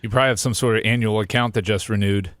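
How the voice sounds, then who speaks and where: A man's voice: fairly low pitch, fairly even intonation, very fast, a bit bright, no echo. A man co-hosting a radio show in casual banter on a close studio microphone. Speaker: show co-host.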